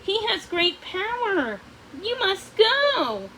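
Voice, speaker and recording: A woman's voice: extremely high pitch, big pitch swings, slow, pushed and bright, a little hiss or hum, pitched above the performer's own voice, full character voice, rough recording. A woman voicing a frightened young storybook princess who pleads with urgent emphasis.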